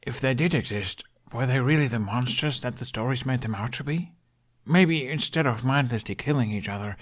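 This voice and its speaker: A man's voice: mid-range voice, pitched above the performer's own voice, lightly acted. A man voicing an earnest, hopeful young prince from a fantasy story, speaking eagerly as he searches for words.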